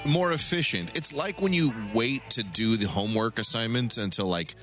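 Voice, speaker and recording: A man's voice: medium pitch, normal speed, music in the background. A man co-hosting a casual comedy podcast, answering with wry, teasing quips. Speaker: podcast co-host.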